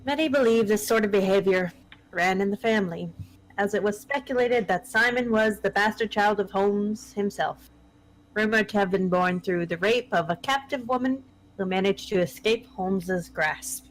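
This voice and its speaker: A woman's voice: mid-range voice, moderate pace, rough recording. A woman reading scripted horror narration in a live table read.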